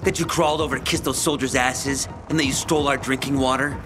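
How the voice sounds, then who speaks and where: An actor playing a cocky, vengeful young gang thug in a video game, taunting and mocking with menace. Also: male voice, mid-range voice, fairly even intonation, fast talker, music in the background, close to an everyday voice. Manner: taunting, mocking, foul-mouthed. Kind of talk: dramatic video game acting.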